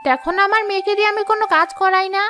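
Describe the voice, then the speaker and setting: A woman's voice: very high voice, rapid-fire, music in the background. A woman playing a proud, indulgent young mother in a cartoon, haughty and insistent. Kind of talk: Bengali cartoon moral-story voice acting.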